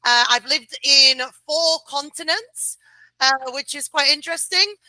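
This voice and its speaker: A woman speaking as a guest on a video call, chatty and quick to laugh, with frequent uhs. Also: high voice, pushed and bright, no room sound, rough recording. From United Kingdom. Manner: chatty, laughs easily, fillers. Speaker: guest, client relations director. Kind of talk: casual interview chat.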